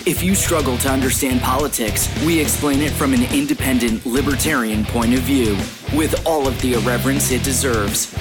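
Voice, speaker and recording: A man announcing a podcast in a scripted show introduction. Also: medium pitch. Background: loud plucked guitar music.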